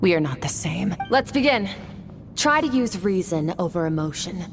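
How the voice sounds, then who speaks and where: Game mentor role: stern swordswoman, detached and instructive, slightly deep female voice. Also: big pitch swings, normal speed, soft background music. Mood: detached, challenging. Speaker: voice actor in a video game. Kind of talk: game dialogue acting.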